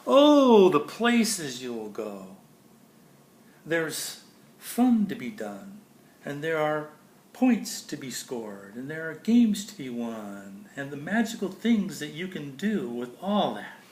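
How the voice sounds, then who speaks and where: A man's voice: fairly high pitch, very animated, slow pace, darkish tone. A man reading a children's picture book aloud in a theatrical, playful way, with casual asides about the pictures. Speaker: storybook reader. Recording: roomy echo, faint background noise.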